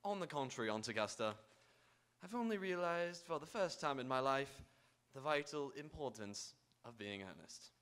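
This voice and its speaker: Man playing animated romantic young gentleman. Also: medium pitch, moderate pace, smooth, natural voice. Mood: self-assured. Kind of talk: live stage radio theatre acting.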